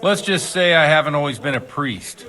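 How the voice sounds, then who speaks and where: An actor playing a worldly, kindly but principled middle-aged village priest in a video game, speaking in a measured, fatherly way. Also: on the high side, expressive. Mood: earnest, reassuring. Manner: measured, fatherly, gently philosophical. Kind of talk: video game cutscene acting.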